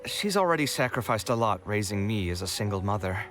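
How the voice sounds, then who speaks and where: An actor playing an earnest, polite young architect in a video game. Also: male voice, on the low side, big pitch swings, fairly quick, a bit bright, soft background music. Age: young adult. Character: young male architect, earnest, flustered, dutiful son. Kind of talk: scripted video game dialogue.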